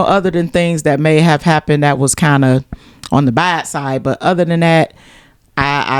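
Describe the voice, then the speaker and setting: Low, smooth-voiced American woman, close and dry. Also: no echo. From Cleveland, USA. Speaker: interview guest, DJ. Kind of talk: casual conversational storytelling.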